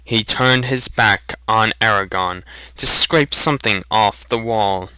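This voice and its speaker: A man giving a dramatic, expressive narration of a fantasy storybook in a deliberate manner. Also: fairly low pitch, smooth, no echo, poor audio. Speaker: reader of a fan dramatic reading.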